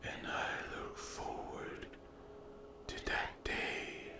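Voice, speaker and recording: A man playing a menacing, hungry sleep paralysis demon in a whispered ASMR roleplay, breathy and deliberate, taunting the listener. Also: roomy sound. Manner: very slow, deliberate, menacing, with long pauses.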